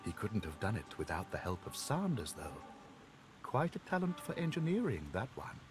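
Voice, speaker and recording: Reverent, lilting temple guide. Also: male voice, lowish voice, huge pitch swings, normal speed. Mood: wistful, knowing. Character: reverent male temple guide, gossipy and wistful. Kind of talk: video game dialogue acting. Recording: game dialogue with music behind. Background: game music.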